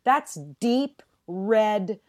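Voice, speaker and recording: Woman, podcast guest, big loud voice, emphatic, salty and funny. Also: medium pitch, drawn-out words. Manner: emphatic, profane and joking. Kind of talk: casual chat.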